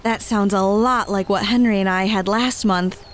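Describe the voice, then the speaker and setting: A woman's voice: medium pitch, fairly even intonation, moderate pace, a little hiss or hum, lightly acted. A woman playing an upbeat, friendly and enthusiastic female fighter in an audio drama. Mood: chatty.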